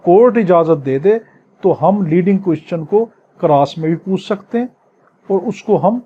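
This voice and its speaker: A man's voice: high voice, mellow, slightly rough. A man with a Pakistani accent teaching in a lecture style, explaining phrase by phrase and repeating key points. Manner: didactic, explains phrase by phrase with repetition. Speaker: law teacher. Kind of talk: lecture, reading English statutes aloud and explaining them in Urdu. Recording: dull, muffled lo-fi audio.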